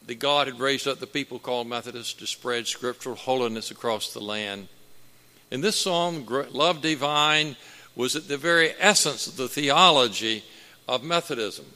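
A man preaching a sermon with a booming voice, slow and emphatic, building in force. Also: bright, forceful tone. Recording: long hall reverb.

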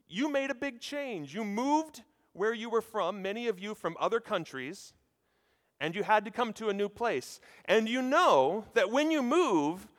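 A man in his thirties from the United States preaches a sermon in a large hall, and the long reverb hangs after his loud, projecting voice. He pitches high and lively, swinging his intonation up and down as he talks with the congregation. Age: 30s. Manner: conversational, animated, addresses listeners directly. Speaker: preacher at a seminary chapel. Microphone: handheld or lapel mic.

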